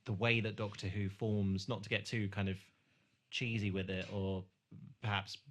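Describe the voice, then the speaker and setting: A man in casual podcast discussion, speaking thoughtfully and often pausing to search for his words. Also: on the low side, little pitch movement, fairly bright tone. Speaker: podcast host.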